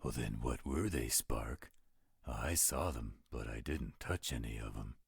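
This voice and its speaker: Werewolf for audio drama, male actor: blunt and protective, bass growl full of fry, dry recording. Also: a little slow, dry recording, full character voice. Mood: blunt, questioning. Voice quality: gravelly, raspy. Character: gruff, protective male werewolf, laid-back with loved ones. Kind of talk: roleplay audio drama acting.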